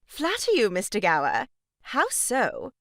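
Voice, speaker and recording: An actress playing a married sister-in-law in a stage farce, brisk, gushing and self-important, with a sharp tongue. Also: female voice, medium pitch, wildly swooping pitch, no echo, clean studio sound, exaggerated voice. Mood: arch, poised. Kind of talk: stage farce audio drama acting.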